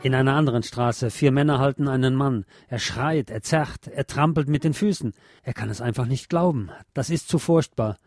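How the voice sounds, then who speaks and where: A man giving a measured, solemn scripted reading of literary prose as narrator, articulating clearly. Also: medium pitch, a little mellow, soft background music. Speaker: narrator and reader.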